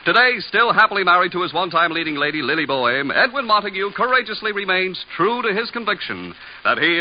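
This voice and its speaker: Higher-voiced American vintage radio announcer man. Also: slight background noise. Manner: mock-solemn, deliberate. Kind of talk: scripted vintage radio comedy narration. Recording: dull, lo-fi old radio broadcast.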